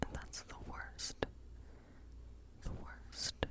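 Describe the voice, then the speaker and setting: Female ASMR performer as a friendly woodland witch: whispered throughout, breathy, whimsical, unhurried and musing. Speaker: ASMR performer playing a witch tarot reader. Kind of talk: whispered ASMR role play.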